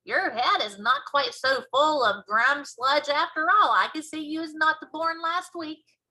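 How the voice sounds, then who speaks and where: A woman's voice: high voice, unhurried, pushed and bright, pitched above the performer's own voice, heavily acted. A woman voicing a kindly, quirky male giant from a storybook, with folksy mangled grammar and an emphatic delivery. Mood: pleased. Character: big friendly giant, male, earnest, kindly, quirky speaker.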